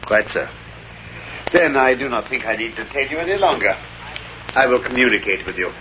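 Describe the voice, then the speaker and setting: An actor playing a confident, witty English gentleman detective in a 1930s radio drama, incisive and dry. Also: mid-range voice, expressive, fairly quick, natural voice. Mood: self-assured. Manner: incisive, dry, self-assured. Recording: muffled, hissy 1930s radio recording.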